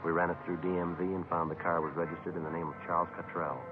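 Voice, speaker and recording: A clipped, deadpan detective sergeant acted by a man in an old radio crime drama. His voice sits very low and moves quickly, on a muffled vintage recording with music. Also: natural voice. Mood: matter-of-fact. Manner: terse, matter-of-fact, deadpan. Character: clipped, businesslike male police sergeant detective. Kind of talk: 1950s radio drama acting with terse narration.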